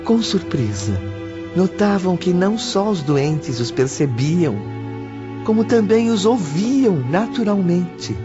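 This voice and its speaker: A man with a Brazilian accent narrating an audiobook in a solemn, deliberate, scripted style. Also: high voice, expressive. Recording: dull muffled audio under loud music.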